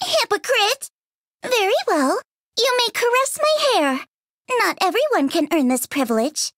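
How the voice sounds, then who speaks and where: A woman's voice: very high-pitched, big pitch swings, unhurried, fairly bright tone, dry recording, clean studio sound, exaggerated voice. A woman voicing a cocky young female android shield soldier in a game, taunting and teasing with frequent laughs. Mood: mocking. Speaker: voice actress. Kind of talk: game character voice lines. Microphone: studio mic.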